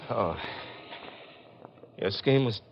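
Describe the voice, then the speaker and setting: An actor playing a doomed, bewildered man with halting speech in a 1940s radio mystery. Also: on the low side, lively intonation, gravelly, heavily acted. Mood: grim realization. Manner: halting, repeating his words. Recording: noisy, dull 1940s radio recording.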